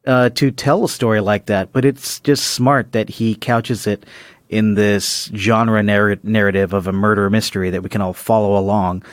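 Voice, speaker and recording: A man's voice: on the low side, level tone, moderate pace, no echo. A man co-hosting a podcast in casual, analytical conversation. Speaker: podcast co-host.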